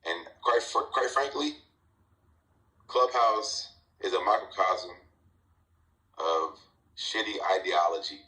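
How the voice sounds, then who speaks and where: A man's voice: low voice, slow, pauses often, bright, forceful tone, roomy sound, low-quality recording. A man moderating a group talk, giving a blunt, profane, repetitive opinion. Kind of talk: casual opinion rant.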